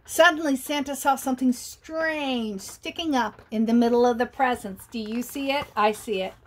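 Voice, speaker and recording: A woman reading a picture book aloud to children, chatty and animated, often pausing to ask her listeners questions. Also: high-pitched, poor audio. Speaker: storyteller.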